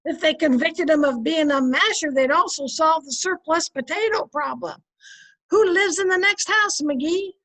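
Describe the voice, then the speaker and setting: Casting: female actor as quipping housewife for radio comedy, high voice, chatty and persuasive. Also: normal speed, fairly bright tone, rough recording. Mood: wry. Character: wry, chatty housewife, wife of census taker. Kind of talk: old-time radio comedy acting.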